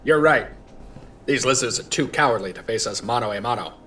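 An actor playing a drunk, disillusioned veteran newspaper reporter with world-weary noir patter in an audio drama. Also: male voice, mid-range voice, brisk, sharp tone, a little hiss or hum, natural voice. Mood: worked up. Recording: faint music underneath.

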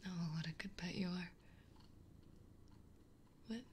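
Young woman, ASMR streamer, gentle whisper, very slow, faint hiss behind. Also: on the low side. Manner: soft whispering, gentle and reassuring. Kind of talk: ASMR livestream chat.

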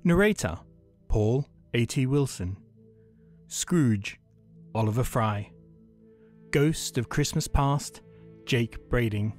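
A man's voice: medium pitch, expressive, slow pace, long pauses, a little mellow, soft background music. A man reading scripted credits as an announcer.

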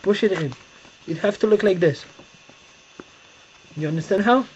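A young man with a Romanian accent and a fairly high voice talks through a paper-folding demo, pausing and repeating himself while he searches for English words. The sound is dull, lo-fi webcam audio with a faint hiss behind it. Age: young adult. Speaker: tutorial maker. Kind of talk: casual step-by-step demonstration. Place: bedroom, seated on a bed indoors. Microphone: webcam close-up.

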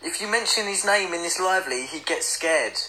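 A man's voice: high-pitched, lively intonation, normal speed, sharp tone, low-quality recording. A young man chatting casually on a livestream, reading out comments in a playful, silly manner. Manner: playful, silly, breaks into bits of song. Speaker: livestream host.

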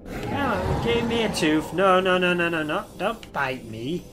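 A man's voice: high-pitched, expressive, measured pace, over music. A man in his thirties with a head cold and a nasal voice, chatting casually over a game and swearing at the creatures on screen. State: head cold, stuffy nose. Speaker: gameplay streamer. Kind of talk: casual gameplay commentary. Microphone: headset.

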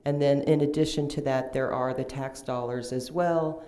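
A woman in her fifties, a union lobbyist, giving confident but halting testimony with many pauses at a county meeting through a table microphone. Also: rumbling low, steady tone, dark tone. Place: county commission hearing room, indoors. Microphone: table microphone, seated.

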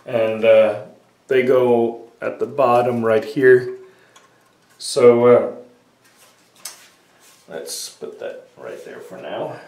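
Slow-talking man with a head cold. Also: mid-range voice, darkish tone. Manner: unhurried, many "uh" fillers, talking while working with hands. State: sniffly with a cold. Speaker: hobbyist repair tutorial maker. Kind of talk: casual step-by-step repair tutorial. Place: small room at a workbench, indoors. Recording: roomy, distant camera audio with faint noise.

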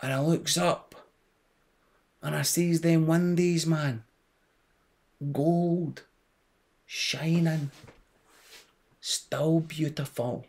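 A man's voice: a little high, steady tone, extremely slow, pauses often, a little mellow, voice pushed up, exaggerated voice. A Scottish man voicing a dreamy, simple-minded teenage boy who rambles and gets easily distracted. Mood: dazed. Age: young adult.

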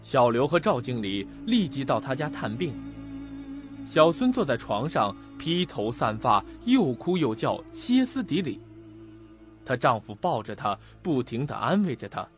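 The narrator of a mystery radio drama. His mid-pitched voice moves expressively through the scripted tale, with music clearly behind a slightly dull recording. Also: very animated, unhurried. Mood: suspenseful. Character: male narrator of a suspense mystery radio play. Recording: slightly muffled audio with music.

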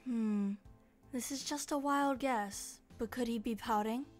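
A woman's voice: fairly high pitch, unhurried, faint music behind, lightly acted. A woman voicing a polite, composed young maid in a comic fan dub, formal and deferential.

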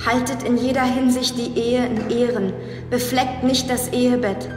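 An actress playing a fervent young novice nun in a TV drama, solemnly reciting as if in prayer. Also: female voice, a little high, little pitch movement, normal speed, lightly acted. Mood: solemn, stern. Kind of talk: TV drama acting. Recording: echoey church reverb, music behind.

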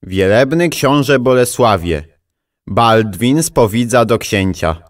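A ceremonious medieval court herald, acted by a man for a radio play. He announces formally and slowly at a middling pitch, with faint noise behind. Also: expressive. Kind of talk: audio drama acting, announcement.

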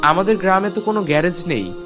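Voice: mid-range voice, big pitch swings, fast talker, music in the background, close to an everyday voice. A performer playing a polite, earnest and eager village boy in a cartoon. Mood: polite, helpful. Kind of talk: cartoon voice acting.

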